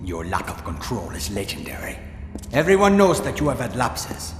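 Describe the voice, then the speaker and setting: Suave official in an echoing hall. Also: male voice, mid-range voice, huge pitch swings, normal speed, a little hiss or hum. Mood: formal, accusing. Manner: formal, precise, condescending. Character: suave, accusing male authority figure, provocative and cold. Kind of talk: video game cutscene acting. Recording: echoey hall reverb under music.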